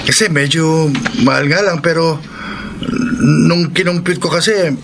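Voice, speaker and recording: An actor playing an earnest, considerate schoolboy from a poor family in a radio drama, respectful and gently pleading. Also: male voice, a little high, measured pace, over music. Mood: earnest, apologetic. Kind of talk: Tagalog radio drama acting.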